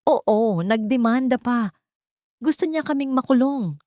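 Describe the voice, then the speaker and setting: A woman voicing a domineering, self-pitying older mother in a story, sharp, plaintive and dramatic. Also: fairly high pitch, expressive, unhurried, no echo, studio quality, pitched above the performer's own voice. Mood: mournful, aggrieved.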